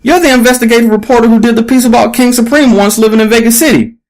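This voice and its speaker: A man's voice: extremely high pitch, fast talker, a little mellow, pitched above the performer's own voice. A man voicing a pushy, relentless female investigative reporter in an audiobook story, with an emphatic, probing delivery. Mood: excited, pushy.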